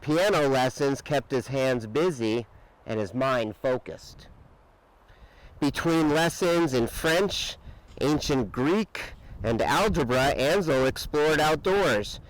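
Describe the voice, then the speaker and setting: A man reading a children's picture book aloud with expressive, dramatic emphasis and drawn-out words. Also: mid-range voice, rough recording. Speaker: storybook reader.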